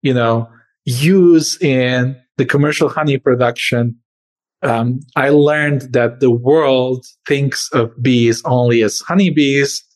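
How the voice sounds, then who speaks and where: A man's voice: mid-range voice, slow pace. A man who grew up in Serbia answers interview questions as a company founder, speaking earnestly with frequent fillers and shifts in pace.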